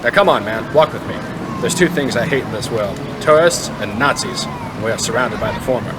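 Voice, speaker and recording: Man acting a fast-talking, wisecracking old-time reporter. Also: mid-range voice, lively intonation, very noisy, natural voice. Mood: sardonic. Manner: sarcastic, snappy, old-fashioned slang. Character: gruff, wisecracking old-time tabloid reporter, brash and insulting. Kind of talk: audio drama acting.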